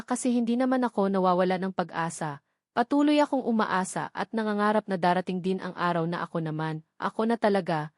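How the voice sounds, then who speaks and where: A woman's voice: mid-range voice, darkish tone, no echo, studio quality, natural voice. A Filipino woman voicing a desperate, heartbroken rich man in love, pleading intensely in a romance audiobook. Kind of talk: dramatic audiobook character dialogue in Taglish.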